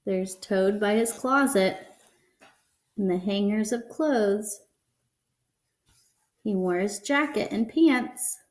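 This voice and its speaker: A woman reading a children's picture book aloud deliberately, pausing to explain what the pictures show. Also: medium pitch, reverberant. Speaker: storybook reader.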